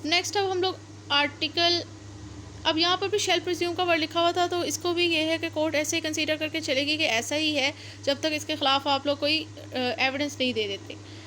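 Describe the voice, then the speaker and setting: A woman with a Pakistani accent speaks in a very high voice, lecturing like a law teacher. She reads out and then explains point by point, repeating herself and slipping in English terms. There is faint noise in the recording. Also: steady tone, normal speed, pushed and bright. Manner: repetitive step-by-step explaining, code-switching into English terms.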